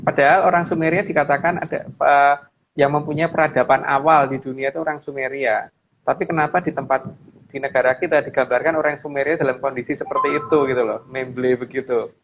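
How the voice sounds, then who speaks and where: A man's voice: medium pitch, fairly even intonation, a little fast. A man with an Indonesian accent explaining slides informally, emphatically repeating his phrases. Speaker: guest speaker explaining slides. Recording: dull lo-fi audio with background noise.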